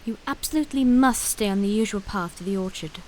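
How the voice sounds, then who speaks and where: A woman's voice: medium pitch, fast talker, close to an everyday voice, poor audio. A woman acting as a long-suffering royal knight with a mid-range voice. She is firm, formal and dutiful, in a fantasy audio drama with hiss behind. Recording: clear background hiss.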